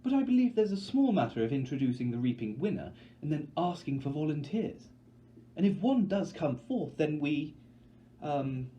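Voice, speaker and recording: A man's voice: a little high, big pitch swings, normal speed, warm tone, dull audio, a little hiss or hum, pitched above the performer's own voice. A man voicing a gushing, prim woman master of ceremonies in a trilling, theatrical manner for a story reading. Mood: prim, flustered.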